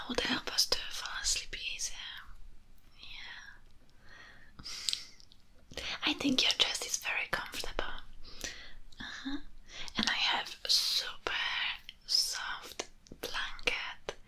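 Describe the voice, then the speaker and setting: A woman's voice: high-pitched, sharp tone. A young woman in an intimate ASMR comfort roleplay, whispering breathily and soothingly, very close to the microphone. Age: young adult. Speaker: ASMR performer. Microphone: close-up microphone, speaking into it ear to ear.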